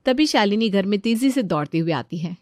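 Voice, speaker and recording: A woman's voice: mid-range voice, lively intonation, fairly quick, dry recording, clean studio sound. A woman delivering scripted storytelling narration as the narrator of a family tale.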